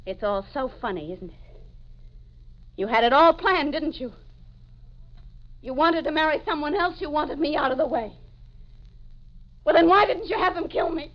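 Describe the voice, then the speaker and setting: A woman's voice: high-pitched, animated, moderate pace, long pauses. An actress playing a haunted, desperate wife who confesses her murders, in intense, melodramatic 1940s radio mystery style. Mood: bitter, unhinged. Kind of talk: 1940s radio mystery acting, first-person narration. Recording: dull old 1940s radio recording with music.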